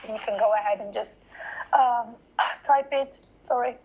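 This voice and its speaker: A woman's voice: fairly high pitch, steady tone, a little slow, darkish tone, husky, poor audio. A woman hosting a live online interview, chatty and laughing easily as she repeats directions to viewers. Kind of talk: casual live-stream hosting. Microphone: online live video call.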